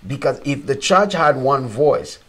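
A man's voice: mid-range voice, expressive, a little slow. A middle-aged man from Uganda, a missionary guest on a discussion panel, speaking earnestly and growing emphatic. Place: small indoor studio set.

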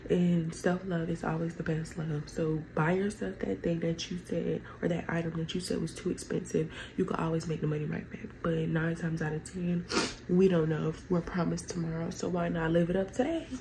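A woman's voice: lowish voice, flat delivery, moderate pace, vocal fry, background noise. Stuffy-nosed woman chatting to camera. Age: young adult. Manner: chatty, rambling, addresses viewers as y'all. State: stuffy nose. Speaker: vlogger. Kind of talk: casual get-ready-with-me chat. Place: bedroom at home, indoors.

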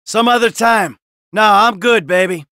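A man's voice: high voice, a little slow, sharp tone, dry recording, clean studio sound. A man voicing a cynical, brash middle-aged American criminal in a video game, sarcastic and foul-mouthed. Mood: flirtatious, smug. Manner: sardonic, brash, swearing.

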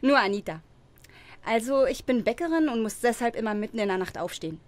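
A woman's voice: a little high, lively intonation, brisk, a little hiss or hum, natural voice. A woman playing a flirty, cheeky baker in an audio drama, teasing and suggestive.

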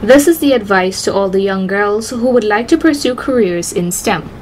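A woman's voice: mid-range voice, expressive, normal speed, a little hiss or hum, rough recording. A twenty-year-old woman, reporting outdoors into a handheld mic and speaking firmly and earnestly. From Guyana. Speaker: reporter and agronomy student. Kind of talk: news report and interview answers. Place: outdoors by a building.

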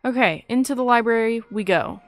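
A woman's voice: on the high side, fairly quick, a little hiss or hum. A young woman giving casual, chatty gameplay commentary in an informal, self-amused way. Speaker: gaming YouTuber. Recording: game music under voice-over. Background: game music.

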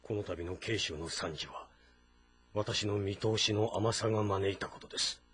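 An actor playing a guilt-ridden, formal military strategist in a dramatic game, restrained and solemn. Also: male voice, fairly low pitch, moderate pace, fairly bright tone, husky. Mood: grave, despairing. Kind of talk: Japanese audio drama game acting. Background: music.

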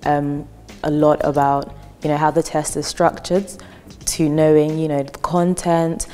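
A woman's voice: low-pitched, little pitch movement, a little slow, dark tone. A woman in her twenties, a student speaking casually in a testimonial interview with a lapel mic. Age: 20s. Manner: casual, chatty with fillers. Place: indoor hall with wooden wall. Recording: reverberant hall with light music.

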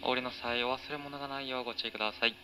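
A man's voice: medium pitch, steady tone, racing, sharp tone. A man working as a train conductor, making clipped, routine announcements over the onboard PA. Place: train PA, carriage ambience behind. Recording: faint train noise, onboard PA speaker.

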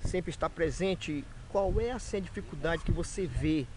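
A man's voice: fairly high pitch, normal speed, noisy background. A man asks informal interview questions as a sports reporter, recorded outdoors on a camera. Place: open-air football ground.

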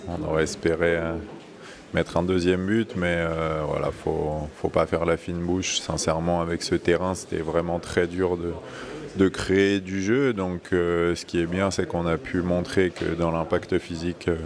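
A man, a footballer, giving a measured post-match interview at the stadium. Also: low-pitched, little pitch movement, crowd noise. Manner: measured, hesitant. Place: stadium mixed zone.

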